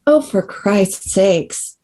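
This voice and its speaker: An actress reads a rich, demanding woman with a mellow, medium-pitched voice. She is cool and entitled, stating her wishes bluntly as if nothing needs explaining. It is a drama table read. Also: animated, drawn-out words. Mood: impatient. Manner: blunt, matter-of-fact.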